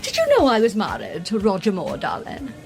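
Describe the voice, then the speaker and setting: A woman's voice: medium pitch, huge pitch swings, fairly quick, gravelly, soft background music. An actress playing a brash, proud, faded singing star in her late sixties, reminiscing theatrically and earthily in a radio play. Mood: boastful, reminiscing. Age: older.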